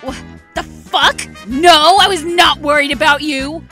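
Casting: woman as aggressive cartoon hellhound girl, bright high voice, foul-mouthed sarcasm, music underneath. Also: measured pace. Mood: irritated. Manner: snarling, sarcastic, swearing. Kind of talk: animated fan audio drama acting. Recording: loud background music.

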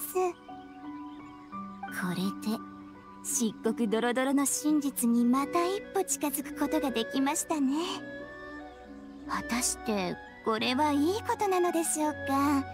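An actress playing an eerie, courteous young shrine maiden in an anime game, formal and teasingly ominous. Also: female voice, sits high, lively intonation, moderate pace, music in the background. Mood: cryptic.

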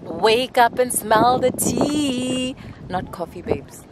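A woman's voice: fairly high pitch, slow, very noisy. A young woman in her twenties chatting to viewers on a travel vlog, filmed outdoors on a lake boat with a handheld camera. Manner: chatty, animated, exclaiming to viewers. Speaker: travel vlogger. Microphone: handheld camera at arm's length.

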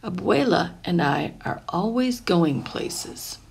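A woman reading a children's picture book aloud in an expressive, deliberate storytelling way, lingering over phrases. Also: lowish voice, gravelly. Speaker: storybook reader.

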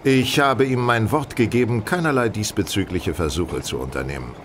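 An actor playing a self-assured male gentleman detective, speaking formally and precisely in a radio play. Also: normal speed, natural voice. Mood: insistent. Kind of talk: German radio play dialogue. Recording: train rumble behind the voice.

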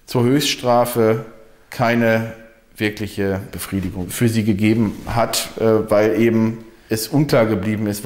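A man in his forties, a lawyer, giving an interview statement with pauses mid-sentence. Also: lowish voice, darkish tone. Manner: halting, pausing mid-sentence. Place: indoor interview setting.

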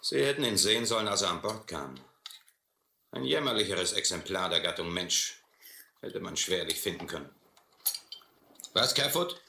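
An actor playing a domineering, mocking sea captain in an audio adventure drama, sardonic and condescending. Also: male voice, low voice, expressive, moderate pace, gravelly, pauses often, sharp tone, heavily acted. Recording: roomy old radio-play recording, faint noise.

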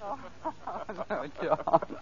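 A woman's voice: medium pitch, very animated, drawn-out words. A woman playing a flirtatious wife in a 1940s radio drama. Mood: playful, giggly. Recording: muffled old radio, crowd behind.

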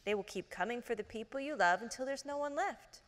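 A woman reading the lines of a pale, calm young woman messenger in an even voice. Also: fairly high pitch, fairly quick, close to an everyday voice. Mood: ominous. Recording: echoey hall reverb.

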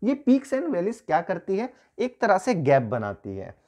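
A man's voice: sits high, wildly swooping pitch, unhurried, a little mellow. A man in his thirties teaching an engineering lesson at a whiteboard, explaining step by step while he draws and points, recorded by a camera a few feet away in a small room. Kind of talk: whiteboard lecture.

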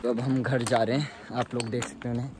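A man's voice: mid-range voice, flat delivery, quick pace, crowd noise. A young man out on a hillside trail with friends, bantering and joking back at the vlogger. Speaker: friend on the trip.

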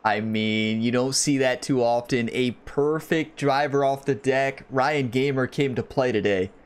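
A man's voice: mid-range voice, measured pace, clear, resonant tone. Chatty man streaming a golf game. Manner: chatty, rambling, enthusiastic, talks to viewers. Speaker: gaming channel host. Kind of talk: casual gameplay commentary. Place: home gaming room. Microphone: headset mic.

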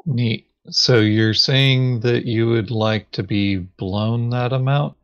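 Slow, low-key man in muffled audio. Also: fairly even intonation, a bit bright, clean tone. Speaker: board game player. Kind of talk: casual game chat.

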